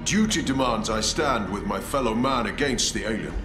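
An actor playing a stern, resolute space marine commander in a video game, speaking solemnly and formally. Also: male voice, medium pitch, expressive, normal speed, reverberant, over loud music, natural voice. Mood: grave, firm. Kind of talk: dramatic video game acting.

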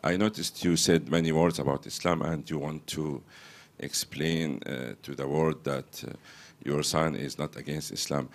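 A man's voice: extremely low pitch, steady tone, normal speed, few pauses. A man from Syria, a reporter, asking a pointed, argumentative question at a press conference.

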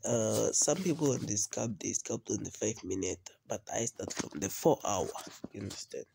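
A man's voice: on the low side, expressive, normal speed, vocal fry, noisy background. A man with a cold hosting a casual trading tutorial over a screen recording, often repeating himself and asking "you understand?". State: has a cold. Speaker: trading video host.

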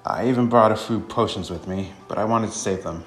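A man plays a grave, brooding male protector from a war-torn game story. The voice is low and formal, with room echo around it. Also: a little fast, faint music behind, natural voice. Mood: apologetic.

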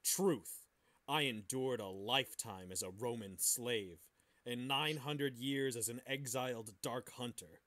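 A man's voice: medium pitch, unhurried, a bit bright. A man co-hosting a podcast, bantering casually and reading aloud. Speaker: podcast host.

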